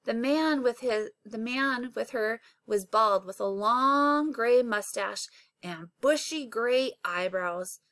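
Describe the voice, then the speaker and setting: Slow, high-voiced woman reading aloud. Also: a bit bright, no echo. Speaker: elementary teacher reading aloud. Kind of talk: scripted children's novel narration.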